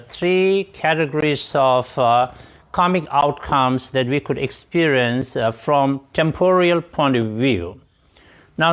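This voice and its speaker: A man interpreting Buddhist teachings, explaining them haltingly as he searches for words and often rephrases. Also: a little high. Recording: dull, lo-fi audio.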